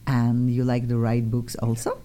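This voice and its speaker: A woman with a very deep, mellow voice and a Polish accent. She hosts talk radio informally, gushing and chatting as she goes. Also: monotone, moderate pace, slight background noise. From Poland. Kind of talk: casual, chatty radio hosting.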